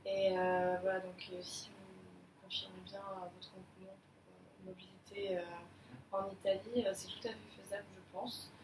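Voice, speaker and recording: A woman acts out a courteous manager leading a job appraisal, formal yet hesitant, with frequent fillers. She is recorded from across an echoey room. A clear hiss runs under her voice. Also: mid-range voice, little pitch movement, moderate pace, close to an everyday voice. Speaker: manager in an appraisal role-play. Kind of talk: amateur role-play of a job interview. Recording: echoey room, mic far off, clear noise.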